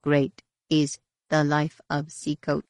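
A woman's voice: deep voice, monotone, slow pace, dry recording, clean studio sound. A woman voicing a crowd of alien devotees in an audiobook, in a solemn chant. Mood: reverent chanting.

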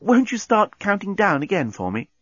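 A man's voice: sits high, huge pitch swings, normal speed, fairly bright tone, husky, no echo, dull audio, pitched above the performer's own voice, heavily acted. A man voicing an earnest, charming, plucky young woman in a storybook, with an eager, pleading manner. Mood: gentle, coaxing.

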